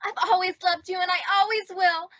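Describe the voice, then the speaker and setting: A woman's voice: extremely high pitch, steady tone, fairly quick, fairly bright tone, no room sound. A woman playing an earnest, dramatic, lovestruck teenage girl in an old-time radio comedy, with emphatic delivery. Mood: anguished, pleading. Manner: emphatic, pleading girlish delivery. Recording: dull, band-limited dry audio.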